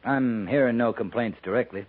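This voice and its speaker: An actor playing a laconic, plain-spoken cavalry captain in a 1950s radio western, dry and understated. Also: male voice, mid-range voice, normal speed, close to an everyday voice. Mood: wry. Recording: narrow, faintly noisy 1950s radio recording.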